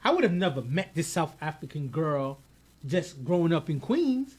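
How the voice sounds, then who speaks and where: A man co-hosts a podcast in a casual, animated way. His high voice pushes hard on key points, and he often repeats a word before he gets going. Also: moderate pace, husky. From United States, of Haitian parents. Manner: animated, emphatic, repeats words when starting. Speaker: podcast co-host.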